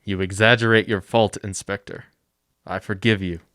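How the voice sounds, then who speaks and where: A man playing a calm, dignified, forgiving mayor in a period audio drama, speaking in a measured, restrained way. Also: fairly low pitch, no echo, clean studio sound. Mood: grave, forgiving. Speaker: actor. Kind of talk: radio-style period drama acting.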